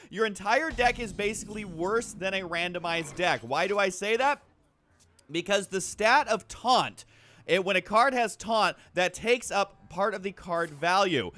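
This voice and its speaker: A man's voice: sits high, animated, moderate pace, sharp tone, dry recording. A man giving casual, self-deprecating commentary over gameplay as a video host, prone to joking exaggeration. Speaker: host of a gaming video channel.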